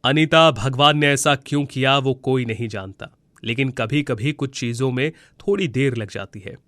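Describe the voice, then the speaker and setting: A man voicing a kindly magical mango tree in a moral storybook tale, gentle and reassuring in how he speaks. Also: medium pitch, moderate pace, dry recording, lightly acted. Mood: consoling. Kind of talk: Hindi moral storybook voice acting.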